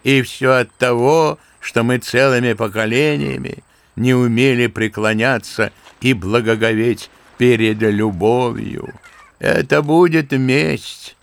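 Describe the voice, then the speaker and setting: An actor playing an old, kindly, sentimental general who speaks fervently and fondly, in a radio play. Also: male voice, medium pitch, slow pace, creaky, no room sound. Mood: musing fervent. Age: older. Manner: fervent, musing, fond and paternal. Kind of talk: theatrical radio play acting.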